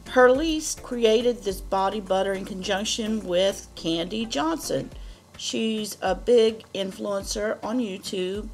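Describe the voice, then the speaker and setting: A woman beauty vlogger reading product descriptions to camera, her voice deep, rough and strained from allergies. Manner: slow, careful reading of product copy.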